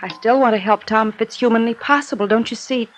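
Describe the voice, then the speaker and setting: An actress playing a hurt but devoted wife in a 1950s radio drama, with an American accent and an earnest, halting delivery. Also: female voice, fairly high pitch, close to an everyday voice. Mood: wistful, resigned. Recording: hissy vintage radio recording.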